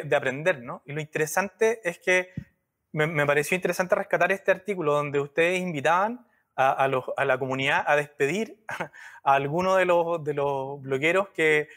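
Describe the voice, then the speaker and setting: Slightly high-voiced male interview host. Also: fairly bright tone. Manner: hesitant, searching for words, many fillers. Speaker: podcast host, brand strategist. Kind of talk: conversational interview.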